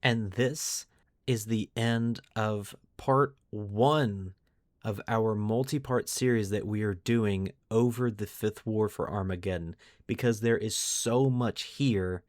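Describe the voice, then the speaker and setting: A man's voice: on the low side, slow pace, no room sound, clean studio sound. A man hosting a podcast, moving between storytelling narration and casual discussion. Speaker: podcast host.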